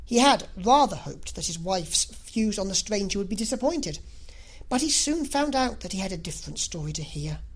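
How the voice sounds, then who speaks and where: A woman reading a literary novel aloud as an audiobook narrator, in an expressive, scripted style. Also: mid-range voice, moderate pace, slightly rough.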